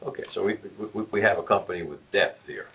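A man's voice: sits low, fast talker, breathy edge. A man from New York City with a New York accent, hosting a business interview and asking probing follow-up questions. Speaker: show host. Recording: tinny phone-like audio.

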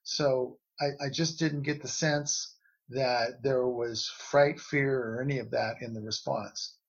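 A man giving casual explanatory commentary as a guest analyst, measured and tentative, on a slightly lo-fi recording. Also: mid-range voice, fairly even intonation, vocal fry. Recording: slightly dull, lo-fi sound.

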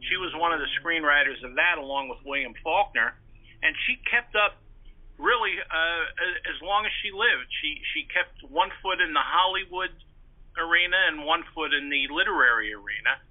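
A man's voice: a little high, lively intonation, normal speed. A man in his sixties talking conversationally as an interview guest, chatty, articulate and discursive as he reminisces. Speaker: interview guest, pulp fiction historian and author. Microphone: telephone. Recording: narrow, tinny phone-like line.

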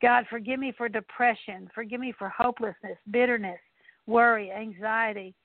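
Woman praying, earnest, says sorry over and over, flat tone, dry thin phone sound. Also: fairly high pitch, normal speed, no echo. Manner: earnest, repetitive litany of confessions. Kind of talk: spoken prayer of repentance. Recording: tinny phone-like line, dry.

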